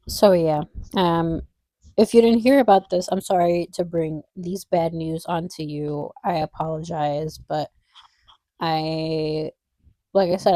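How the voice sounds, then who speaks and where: Young woman, low mellow voice, stuffed-up nose, rambling wistful vlog chat, dry close sound. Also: no echo. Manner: rambling, wistful, heavy on fillers. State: congested nose. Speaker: vlogger. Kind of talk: casual chat while drawing.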